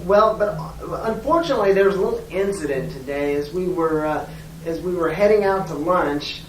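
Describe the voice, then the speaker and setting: Polite teacher someone role-playing calling a parent, measured n hesitant, echoey room, bit of background noise. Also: medium pitch, animated, natural voice. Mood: polite, careful. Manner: hesitant, measured, diplomatic, frequent pauses. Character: polite, diplomatic schoolteacher phoning a parent. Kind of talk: role-played phone call demonstration. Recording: echoey room, distant mic, faint noise.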